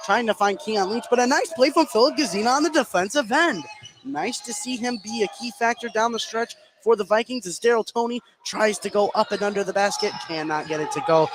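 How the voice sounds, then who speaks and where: An American man calling live basketball play-by-play on the radio, getting excitable on big plays. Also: sits high. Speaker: sports radio play-by-play announcer. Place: indoor high school basketball game. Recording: noisy live gym broadcast.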